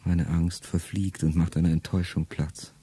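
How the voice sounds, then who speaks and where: Middle-aged male narrator for a radio play, very dark, husky voice, low and intimate, often whispering. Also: level tone, normal speed. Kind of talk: first-person literary monologue in a radio play.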